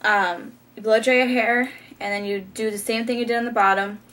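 A woman's voice: fairly high pitch, steady tone, a little fast, a bit bright, slight background noise. A young woman, casually talking through a hair tutorial with frequent ums while styling her hair, recorded on a camera mic in a small bedroom. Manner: chatty, frequent ums, light laughs. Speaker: beauty vlogger.

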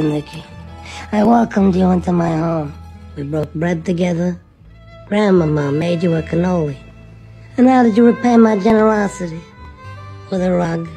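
A woman's voice: on the low side, dark tone. A woman playing a dramatic, indignant mob boss reproaching a traitor in theatrical style.

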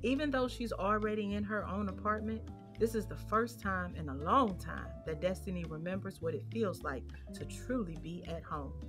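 A woman's voice: mid-range voice, moderate pace. A woman reading scripted narration for an audio drama, describing the action. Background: music.

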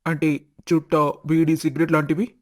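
A man voicing a composed doctor with flat intonation. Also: fairly high pitch, normal speed, close to an everyday voice. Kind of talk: animated family story acting.

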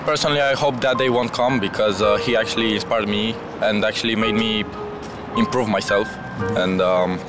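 A man's voice: fairly low pitch, fairly even intonation, normal speed, loud music behind the voice. A young man casually giving his opinion in an outdoor street interview. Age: young adult. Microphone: interviewer's handheld microphone.

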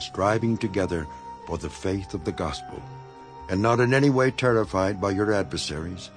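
Man acting a low-voiced, solemn imprisoned apostle. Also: natural voice. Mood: solemn exhortation. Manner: solemn, measured, earnest. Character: Apostle Paul, imprisoned, earnest, devoted elder writing to his flock. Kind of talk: dramatized scripture reading.

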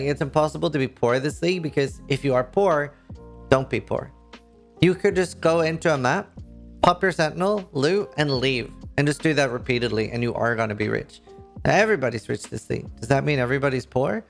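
A man's voice: mid-range voice, gravelly. A man in his thirties with a Norwegian accent, a streamer chatting casually and enthusiastically to camera about a video game he loves. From Norway. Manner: enthusiastic, rambling, lots of fillers. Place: indoor streaming room.

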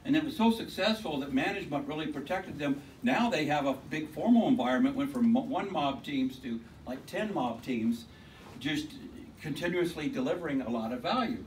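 A man with a loud voice giving an informal technical talk at a meetup, rambling and restarting his sentences. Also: a little high, animated, normal speed, recorded from a distance, a little hiss or hum, rough recording. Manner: rambling, with many restarts and repeated words. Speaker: conference speaker. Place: lecture room at a tech meetup. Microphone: handheld mic, often held away from the mouth.

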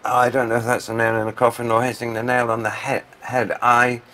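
A man playing a grieving man who lost his partner, hesitant and stammering, trailing off quietly. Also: mid-range voice, completely flat delivery, brisk, natural voice. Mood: flustered. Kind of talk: radio play acting.

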